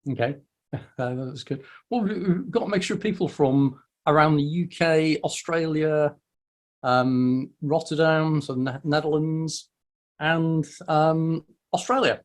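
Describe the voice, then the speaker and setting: A man's voice: a little high, a little slow. A man hosting a casual meetup over a video call, friendly and welcoming, often stumbling and restarting his words. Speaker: host of a weekly online meetup.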